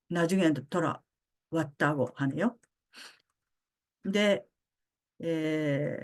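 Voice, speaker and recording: Slow halting older woman lecturer. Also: fairly low pitch, a little mellow. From Japan (Osaka). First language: Japanese. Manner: frequent hesitation fillers, halting. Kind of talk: lecture on philosophy.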